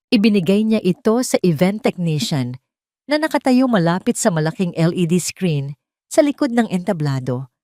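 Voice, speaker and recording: A woman's voice: on the low side, mellow, no echo, clean studio sound. A woman with a Filipino accent narrating a scripted dramatic story.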